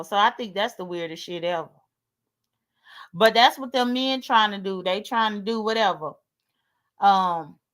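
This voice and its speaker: A woman's voice: a little high, bright, forceful tone. A woman in her late thirties with an American accent, chatting casually on a livestream in a blunt, sassy way and swearing freely. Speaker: livestream host. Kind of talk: casual livestream chat, airing grievances.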